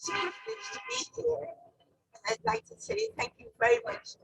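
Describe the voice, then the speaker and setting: A woman's voice: very high-pitched, sing-song, fairly quick. A woman in her eighties from Guyana giving a short, modest, grateful acceptance speech. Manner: modest, soft-spoken, grateful. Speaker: award recipient. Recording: distant mic, clear background noise.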